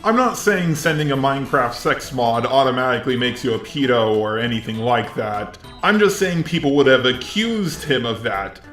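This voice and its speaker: A man's voice: mid-range voice, lively intonation, normal speed, few pauses, fairly bright tone, faint music behind. Echoey robot-voiced male commentator. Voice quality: synthetic robot voice. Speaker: host of a commentary video. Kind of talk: scripted commentary.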